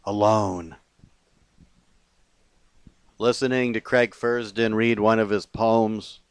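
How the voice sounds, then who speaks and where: A man gives a poetry reading of personal, confessional verse. His voice is smooth and on the low side, and he takes it very slowly, breaking the lines into deliberate short phrases with pauses. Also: dry recording. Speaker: poet.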